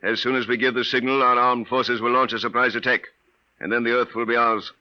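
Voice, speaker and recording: A man playing a cold, formal Martian invader with measured, menacing politeness in 1950s radio drama style. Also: medium pitch, flat delivery, natural voice. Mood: smugly menacing. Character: cold, courteous male Martian invader and interrogator. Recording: muffled vintage 1950s radio recording.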